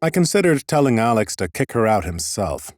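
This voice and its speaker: A man playing a pompous, animated lich sorcerer. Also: medium pitch, brisk, no room sound, studio quality, close to an everyday voice. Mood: dry, measured. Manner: pompous, formal, deadpan. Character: haughty, dry-witted male lich sorcerer, bored scholarly master. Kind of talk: scripted first-person audiobook narration and dialogue.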